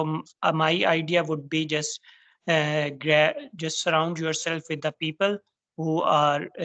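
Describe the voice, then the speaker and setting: Pakistani woman on a video call, native urdu speaker, crisp bright voice, calm plain talk. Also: low-pitched. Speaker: guest, English learner. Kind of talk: online conversation in English.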